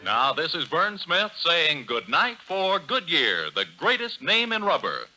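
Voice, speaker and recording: A man announces an old-time American radio show in a high, piercingly bright voice. His pitch swings in a lively way over faint music, with the sound of a vintage broadcast recording. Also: a little slow, rough recording.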